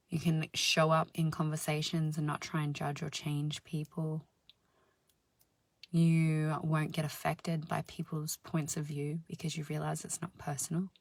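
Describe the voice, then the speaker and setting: A woman with a deep, husky voice giving a slow, soothing spiritual self-help talk, sometimes dropping into soft whispers. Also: dry recording. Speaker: spiritual healer and channel host.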